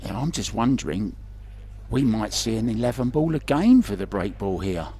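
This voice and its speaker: A man's voice: fairly low pitch, very animated, moderate pace, warm tone, a little hiss or hum. A man commentating pool remotely, chatty and joking, asking his co-commentator questions. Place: remote booth.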